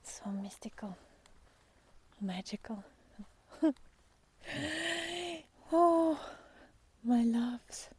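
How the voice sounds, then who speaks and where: A woman with a mellow, relaxed voice talks slowly, as a spiritual guide would to her followers. Her delivery is tender and halting, with pauses between short phrases. It feels intimate and unscripted, at times close to a whisper. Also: a little high, husky, no echo. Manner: tender, halting, with long pauses, sighs and soft laughs. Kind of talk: spontaneous, intimate spiritual talk to viewers. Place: outdoors in nature, walking by foggy fields.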